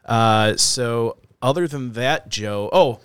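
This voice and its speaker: Man, podcast co-host, a bit stuffy-nosed, smooth clear voice, dry close sound, loose and chatty. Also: mid-range voice, takes it slowly, no echo. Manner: relaxed, chatty, frequent "uh" and "um". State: slightly nasal from allergies. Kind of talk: casual podcast chat.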